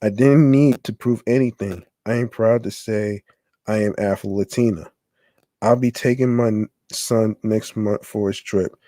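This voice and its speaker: A man with a lowish, mellow voice reads a long text aloud on his podcast. He moves very slowly, often stumbling and restarting mid-word. The sound is close and dry. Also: fairly even intonation, no room sound. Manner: halting reading, stumbling and restarting over words. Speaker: podcast host.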